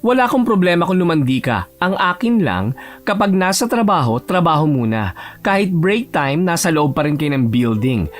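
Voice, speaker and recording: Man playing a stern, animated office executive. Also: fairly high pitch, normal speed, faint music behind. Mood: calm, strict. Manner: formal, authoritative, switches between Tagalog and English. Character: stern, composed male office executive, protective, gruff.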